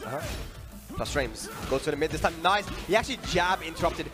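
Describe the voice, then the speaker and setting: A male esports commentator with a high voice who rattles off move inputs and frame data at speed. He sounds hoarse. Game music plays clearly under him. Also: expressive. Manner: fast, technical play-by-play with move notation. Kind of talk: technical fighting-game commentary.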